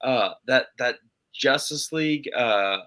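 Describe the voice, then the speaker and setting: A man with a nasal voice, co-hosting a casual talk show and chatting in an animated way, with frequent stammered restarts and swearing. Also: medium pitch, takes it slowly. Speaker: podcast co-host. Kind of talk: casual chat.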